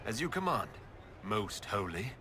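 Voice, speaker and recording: A man playing a courteous elf leader in a video game, measured and inquiring. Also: lowish voice, big pitch swings. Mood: composed. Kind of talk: video game character dialogue. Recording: clear background noise and ambience.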